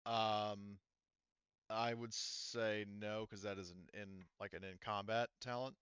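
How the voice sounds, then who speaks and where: A man with a very clear, smooth voice referees a tabletop game. He works through rulings aloud in a hesitant, casual way. The sound is dry and slightly dull. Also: fairly low pitch, fairly even intonation, slow pace, fairly bright tone, dry recording. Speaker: game master.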